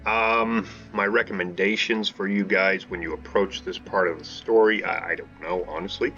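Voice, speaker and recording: A man's voice: deep voice, steady tone, moderate pace, sharp tone, lo-fi sound. A man casually commentating his gameplay as a channel host, thinking aloud with laid-back restarts and fillers. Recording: game music playing under the voice. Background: game music.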